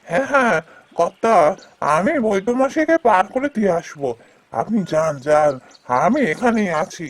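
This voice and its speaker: A man's voice: high-pitched, animated, moderate pace. A man voicing a humble, eager village boatman in a cartoon fairy tale, obliging and politely exclamatory. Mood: eager to help.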